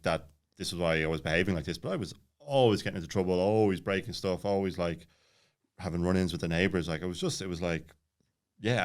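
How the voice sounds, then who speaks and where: Fast-talking man with a low voice. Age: late 30s. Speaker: podcast guest. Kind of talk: casual personal storytelling.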